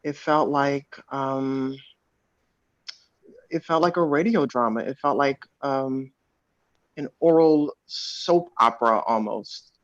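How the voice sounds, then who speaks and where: A man, an actor, reflecting thoughtfully and casually in measured phrases on a dry, dull online call. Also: medium pitch, dry recording. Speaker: actor on a panel. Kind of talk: casual reflective panel talk.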